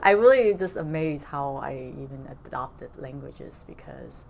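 Woman, forties, low gravelly voice, soft-spoken and halting, faint background hiss. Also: lively intonation. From Vietnam. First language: Cantonese. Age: 40s. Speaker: interviewee. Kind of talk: oral history interview.

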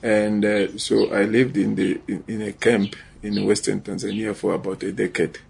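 A man in his forties from Eastern Congo, telling his own story in an interview with halting pauses and restarts. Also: lowish voice, level tone, vocal fry, darkish tone, husky. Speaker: social entrepreneur. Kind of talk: personal account in interview.

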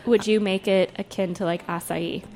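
A woman's voice: mid-range voice, steady tone, normal speed, a little mellow, slight background noise, poor audio. A woman hosting a podcast interview, enthusiastic and encouraging, prompting her guest with questions and frequent small affirmations. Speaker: podcast host.

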